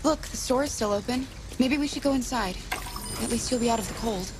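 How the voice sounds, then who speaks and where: An actress playing a gentle, protective female android in a video game, speaking softly and earnestly with a reassuring manner. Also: on the high side, fairly quick, noisy background, natural voice. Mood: concerned. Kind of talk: naturalistic video game acting. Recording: music and rain behind.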